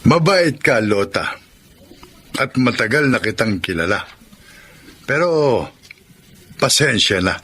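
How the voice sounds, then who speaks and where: An actor with a Filipino accent playing a dismissive, judgmental employer, brusque, in a radio drama. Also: male voice, medium pitch, lively intonation, slow pace, long pauses, sharp tone, slightly rough, full character voice. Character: Pablo, dismissive, judgmental older male employer.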